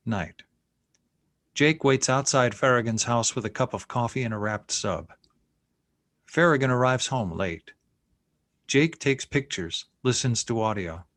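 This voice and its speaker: A man's voice: mid-range voice, expressive, measured pace, long pauses, fairly bright tone, no room sound. Man narrating screenplay directions, dry sound. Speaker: narrator reading stage directions. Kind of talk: scripted narration, screenplay table read.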